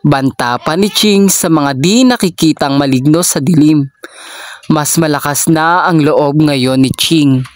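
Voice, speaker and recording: A person reading a children's story aloud in a measured, deliberate storytelling manner. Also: medium pitch.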